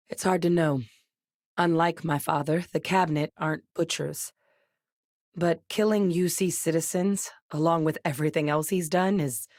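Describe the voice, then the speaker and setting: A woman voicing a steady, serious woman officer in a video game, speaking in firm, clipped lines. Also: lowish voice, no echo, studio quality, natural voice. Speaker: game character voice.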